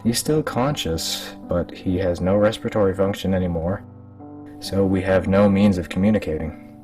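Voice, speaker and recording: A man's voice: low voice, normal speed, darkish tone, recorded from a distance, faint music behind, close to an everyday voice. A man voicing a curt, matter-of-fact government disease agent in a horror story reading. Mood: clinical, grave. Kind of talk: acted dialogue in a horror story.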